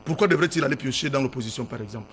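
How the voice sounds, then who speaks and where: A man arguing on a televised political debate panel, loud and insistent, often repeating himself. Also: medium pitch, racing, a little hiss or hum. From Gabon.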